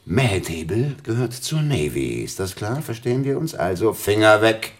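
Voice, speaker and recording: An actor playing a pompous, possessive Navy officer in a German radio drama, theatrical, with clipped military bursts. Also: male voice, on the low side, very animated, moderate pace. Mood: brisk bluster.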